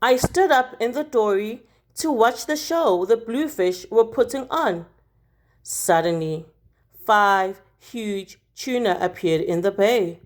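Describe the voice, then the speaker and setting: A woman reading a children's picture book aloud like a teacher, expressively, with deliberate dramatic emphasis on key words. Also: mid-range voice, animated.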